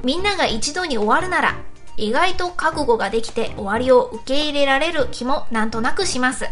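A woman's voice: high voice, brisk, a bit bright, faint music behind. A woman hosting a hobby internet radio show, politely reading out listener messages with frequent backchannels and giggles.

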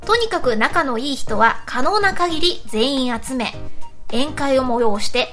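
A woman's voice: high voice, expressive, measured pace, fairly bright tone, faint music behind. A woman hosting a hobby internet radio show, politely reading out listener messages with frequent backchannels and giggles.

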